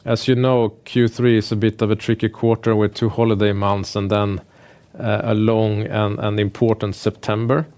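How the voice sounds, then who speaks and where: A man with a fairly low voice presents company results as a finance executive. He speaks in a measured, businesslike manner, pausing and hesitating as he works through prepared remarks. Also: flat delivery, a little mellow. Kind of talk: earnings call presentation, prepared remarks delivered semi-freely.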